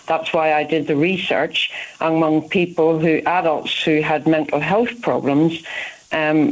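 A woman with an Irish accent, an education researcher, speaking in a radio interview and telling stories warmly. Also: deep voice. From Ireland. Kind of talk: phone interview. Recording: dull lo-fi line.

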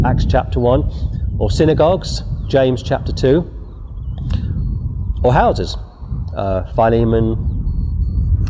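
A man's voice: medium pitch, in a large hall, noisy background. A man preaching a sermon outdoors, reading scripture and pressing his warnings with emphasis. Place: outdoors before scenery.

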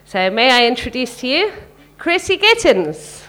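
A high-pitched female voice hosting a live literary event. She introduces readers informally, partly reading aloud, with the slightly hesitant manner of someone not used to the job. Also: very animated, measured pace, sharp tone, a little hiss or hum.

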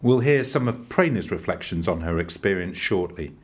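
A man narrating a program in a smooth, scripted announcing style. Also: deep voice, expressive, normal speed.

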